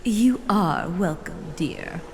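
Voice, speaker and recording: Woman acting as slow, swooping, doting matriarch in reverb. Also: lowish voice, lively intonation, vocal fry, exaggerated voice. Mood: pleased, grand. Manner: drawn-out, sweetly condescending, theatrical. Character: doting female elder of a hive-mind family, theatrical and possessive. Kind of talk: scripted fan audio drama acting. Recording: reverberant hall, faint noise.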